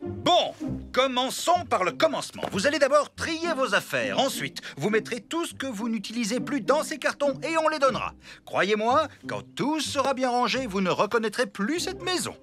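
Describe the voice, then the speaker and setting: A man's voice: very high voice, big pitch swings, normal speed, bright, forceful tone, full character voice. An actor voicing a bossy, exasperated elephant father in a cartoon. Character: grumpy tidy elephant father. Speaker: father character. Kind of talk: French cartoon dubbing. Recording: with music underneath.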